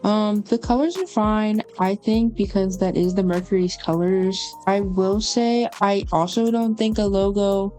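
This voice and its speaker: A young woman as guest graphic designer, giving measured, hesitant opinions in a casual chat. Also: steady tone, mellow, faint music behind. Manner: measured, hesitant, low-key.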